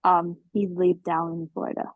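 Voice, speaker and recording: A young woman whose first language is Thai, answering questions in a webinar with frequent ums and pauses. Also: lowish voice, flat delivery, dry recording. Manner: hesitant, many ums. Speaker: student guest speaker. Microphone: video call. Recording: muffled video call audio.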